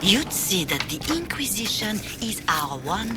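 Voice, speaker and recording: An actress playing a stern, fervent believer in a fantasy video game. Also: female voice, medium pitch, big pitch swings, unhurried, loud music behind the voice. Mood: rebuking. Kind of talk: dramatic video game acting.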